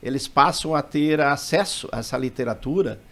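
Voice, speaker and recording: A man's voice: medium pitch, moderate pace, fairly bright tone. A man from southern Brazil, a member of a local association, talking on a radio program and listing places and facts. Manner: talkative, lists places and facts. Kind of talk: radio interview. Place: radio studio.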